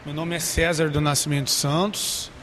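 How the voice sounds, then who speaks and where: A man in his twenties, a communication student, speaking earnestly and haltingly into a handheld mic in an empty classroom. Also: on the high side, little pitch movement, fairly bright tone, slight background noise. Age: 20s. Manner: earnest, halting with repeated words. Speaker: interviewee, advertising student. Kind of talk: documentary interview.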